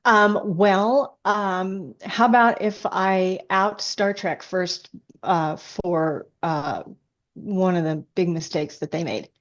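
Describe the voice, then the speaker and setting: A woman with a fairly low voice talks as a guest author in a casual interview. She explains things with enthusiasm and an easy conversational flow. The recording is a little dull and lo-fi. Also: slow pace. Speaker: guest, science fiction author.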